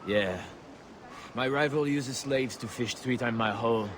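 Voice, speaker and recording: An actor playing a sly, self-serving trader in a video game, speaking in a wry, insinuating way. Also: male voice, mid-range voice, measured pace, close to an everyday voice. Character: sly, self-serving male fishing trader striking bargains. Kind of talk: dramatic game cutscene acting. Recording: noisy outdoor game ambience behind.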